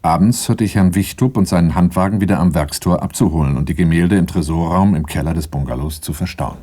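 A man's voice: low-pitched, quick pace, dark tone. An actor playing a sober, dutiful bodyguard who tells his own story dryly in a radio crime play. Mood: matter-of-fact. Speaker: narrator. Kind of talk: first-person narration in a radio crime play.

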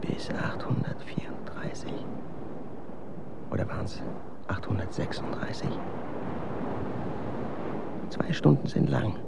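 A creaky-voiced, tired, earnest young man. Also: on the low side, lively intonation, takes it slowly, pauses often, whispered, full character voice. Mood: drained, muttering. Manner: coaxing, earnest. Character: tired, gently persistent young man coaxing his despairing partner. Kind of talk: German radio drama acting. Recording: clearly noisy background ambience.

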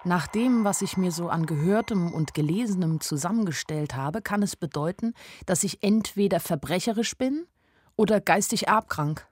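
A woman's voice: on the low side, moderate pace, a little mellow, husky. An actress playing a naive young woman who tells her story candidly and wryly in a radio play. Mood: anxious musing. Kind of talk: radio play first-person narration.

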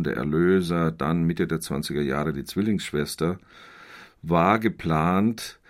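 A man speaks in a low voice, in the measured style of a scholar. His phrasing is formal and precise as he explains. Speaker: literary scholar.

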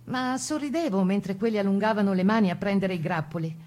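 Actress doing a plucky italian resistance woman, telling her war story in a composed way, radio drama reading, normal mid pitch. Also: a little fast, darkish tone, lightly acted. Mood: wry, composed. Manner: composed first-person storytelling. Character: plucky Italian woman partisan recalling wartime Resistance missions.

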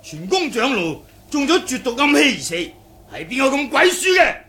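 A man's voice: very high-pitched, sing-song, moderate pace, background noise. A man with a Cantonese accent playing a fiery, combative beggar clan chief, belligerent and exclamatory, in a martial arts radio drama.

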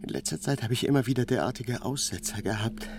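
Man acting a hushed, distraught, pleading man. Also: medium pitch, fast talker, close to an everyday voice. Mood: despairing, self-pitying. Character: distraught, confused man pleading illness after attacking a woman. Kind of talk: radio drama acting. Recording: music behind.

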